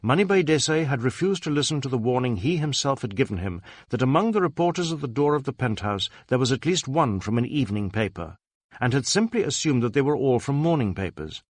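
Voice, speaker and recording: A man's voice: mid-range voice, sing-song, a little fast, no room sound, studio quality. A man reading a detective novel aloud as scripted dramatic narration. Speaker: audiobook narrator.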